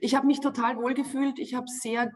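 A woman in her forties with an Austrian accent, speaking in a lively, emphatic way as a guest on a video-call interview. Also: high voice, normal speed. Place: room at home. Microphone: video call webcam.

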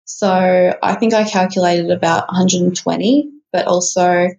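A woman's voice: fairly low pitch, steady tone, normal speed, gravelly, darkish tone, poor audio. A teenage girl with an Australian accent answering in a matter-of-fact way over a video call from a small room. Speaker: student guest. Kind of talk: casual chat. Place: small storeroom office, indoors.

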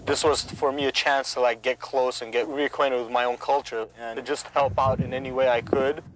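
A man's voice: mid-range voice, steady tone, brisk, rough recording. Young man, casual, reflective. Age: young adult. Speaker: architecture student volunteer. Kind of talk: interview answers.

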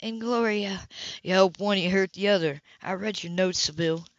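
A woman's voice: mid-range voice, normal speed, close to an everyday voice. A woman reading the part of a furious man who accuses a woman of lying, in a cold, clipped way. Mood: accusing. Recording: dull lo-fi recording.

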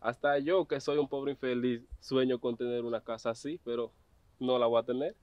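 A young gatekeeper talks in a teasing, mildly mocking way, as an actor plays him, in an ordinary mid-range voice. The sound is dry and a little dull, recorded outdoors. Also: male voice, medium pitch, fairly even intonation, moderate pace, no echo, lightly acted. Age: young adult. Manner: teasing, chuckling. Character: young male gatekeeper servant, mocking but obedient. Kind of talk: web drama acting. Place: outdoors at a farm gate.

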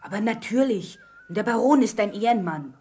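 A woman's voice: mid-range voice, expressive, moderate pace, mellow, husky. A woman playing a brave, earnest and impulsive boy adventurer with an urgent manner in a German radio play. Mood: earnest, doubtful.

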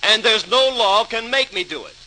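A callous landlord in a vintage radio play. His voice is high and swings widely in pitch, haughty and dismissive throughout. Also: expressive, normal speed, pushed and bright, a little hiss or hum, exaggerated voice, low-quality recording. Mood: haughty, defiant. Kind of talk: old-time radio acting.

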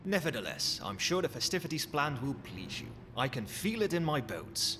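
A man's voice: medium pitch, big pitch swings, moderate pace, natural voice. A man playing a courteous, cheeky undead skeleton butler in an ASMR roleplay, with a formal, theatrical manner. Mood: eager, deferential. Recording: long hall reverb, noisy ambience.

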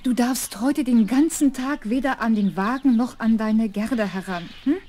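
An actress playing a caring, anxious nanny, brisk and protective, in a 1970s children's radio play. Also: female voice, on the high side, dark tone, natural voice. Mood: worried, caring. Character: anxious, caring nanny fussing over a little girl.

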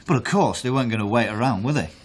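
A man's voice: medium pitch, racing, husky, lightly acted. An actor playing an aggrieved, aggressive man in a naturalistic radio drama, British, confrontational and sarcastic. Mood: indignant. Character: aggrieved, aggressive conned man out for his money.

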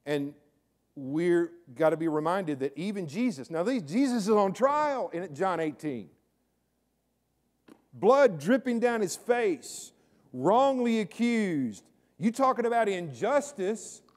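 Middle-aged pastor preaching, high-pitched. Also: male voice, big pitch swings, slow pace. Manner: conversational preaching with sudden rises in volume and repeated words. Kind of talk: sermon. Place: large church sanctuary with stage, indoors.

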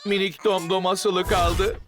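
A narrator telling a children's cartoon, with many exclamations and quick jumps into the characters' lines. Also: medium pitch, little pitch movement, a bit bright. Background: cartoon music.

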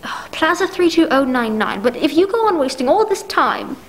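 A woman's voice: high voice, very animated, moderate pace, a little hiss or hum. A woman acting an anxious, demanding, self-pitying bedridden wife in a 1940s-style radio play, stammering and indignant. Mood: flustered.

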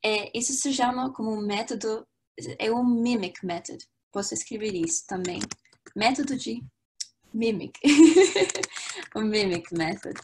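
A woman's voice: on the high side, a little hiss or hum. Upbeat young woman teaching on a video call. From Latvia. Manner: lively, chatty, many fillers and restarts. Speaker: language teacher. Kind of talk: live online language lesson. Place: small room indoors. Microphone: webcam on a video call.

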